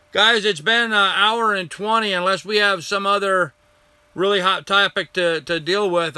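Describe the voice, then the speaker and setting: An older man hosts a live stream in a bright, clear voice that has gone a little hoarse. He rambles in a friendly way and greets viewers by name as he answers their comments. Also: a little high, no room sound.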